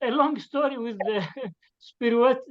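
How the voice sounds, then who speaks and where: Slow, halting man. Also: very high voice. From Syria. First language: Kurdish. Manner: halting, many fillers, laughs as he talks. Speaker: participant. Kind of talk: casual sharing on a video call. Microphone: video call. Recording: lo-fi video call audio.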